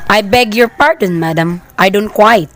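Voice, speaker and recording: A woman's voice: medium pitch, normal speed, fairly bright tone, natural voice. A woman playing a polite, unflustered telephone operator in an amateur radio play, formal and procedural. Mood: polite, puzzled.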